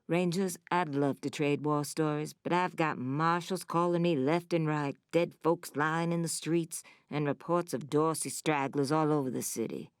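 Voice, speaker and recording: Woman doing a brash sheriff for a game, deep bossy drawl, close dry sound. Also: steady tone, normal speed, hardly any pauses, clean tone, no echo, close to an everyday voice. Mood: firm, exasperated. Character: tough folksy woman sheriff, brash, weary, commanding. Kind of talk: video game voice acting.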